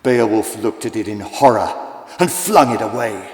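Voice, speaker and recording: A man's voice: fairly low pitch, moderate pace. A man narrating a children's audiobook as a dramatic, scripted storyteller. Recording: echoey, long hall-like reverb. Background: music.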